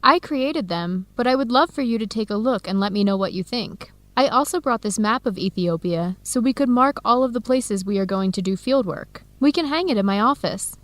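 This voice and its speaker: An actress with an American accent playing an eager, friendly researcher abroad in an educational radio drama, speaking with enthusiasm. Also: female voice, a little high, lively intonation, normal speed, hardly any pauses, no room sound, lightly acted. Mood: businesslike, upbeat. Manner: enthusiastic, encouraging. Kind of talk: radio drama acting, clear educational dialogue.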